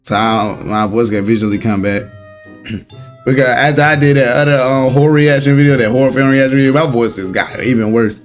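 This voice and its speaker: Slightly raspy, laid-back young male vlogger. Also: medium pitch, steady tone, brisk, soft background music. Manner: rambling, laid-back slang, chuckles often. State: hoarse, voice recovering. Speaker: reaction channel host. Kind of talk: casual chat to viewers. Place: home room. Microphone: desk setup at a laptop.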